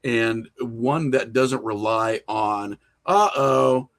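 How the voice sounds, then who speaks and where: A man's voice: mid-range voice, very slow, gravelly, fairly bright tone. A middle-aged man talking casually as an interview guest, opinionated and rambling as he works through his thoughts. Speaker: podcast guest, horror filmmaker and writer.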